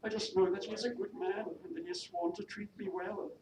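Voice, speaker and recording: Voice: mid-range voice, fairly quick, pitched above the performer's own voice, lightly acted. Someone reading as a timid young welsh servant girl, polite but stubborn, roomy far-off recording. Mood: timid, earnest. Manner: deferential, hesitant, polite. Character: meek but determined young Welsh maid. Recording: noisy echoey room, distant mic.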